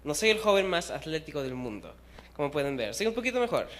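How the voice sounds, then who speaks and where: Young man preaching with high, animated voice. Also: fast talker. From Chile. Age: young adult. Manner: animated, self-deprecating, mixes in English words. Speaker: youth preacher. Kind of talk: casual storytelling sermon. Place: church hall.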